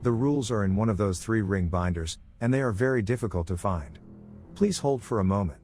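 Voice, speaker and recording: A man voicing a polite, flustered young woman clerk, calm and rehearsed, in a narrated horror story. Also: fairly low pitch, a little fast, faint music behind, close to an everyday voice. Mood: regretful. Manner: calm, polite, rehearsed customer-service talk.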